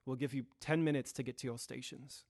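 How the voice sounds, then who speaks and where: A young man playing a grave, dutiful Victorian gentleman lawyer in an audio drama, with a formal and deliberate delivery. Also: medium pitch, steady tone, background noise, lightly acted. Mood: commanding. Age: young adult.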